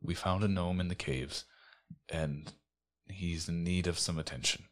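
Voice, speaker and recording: Tabletop player, man with a rumbling bass voice, casual improvised dialogue, plain and halting. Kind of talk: casual improvised role-play.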